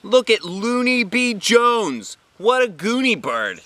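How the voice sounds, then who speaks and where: A man voicing a mean little schoolboy in a storybook, shouting taunts in a loud, jeering way. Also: sits high, sing-song, slow, voice pushed up, exaggerated voice. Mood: mocking.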